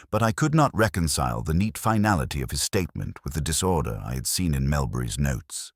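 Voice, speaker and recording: Really low male narrator, measured detective story reading, lively intonation, dry close sound. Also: no echo. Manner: measured, literary, reflective delivery. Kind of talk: scripted first-person audio drama narration.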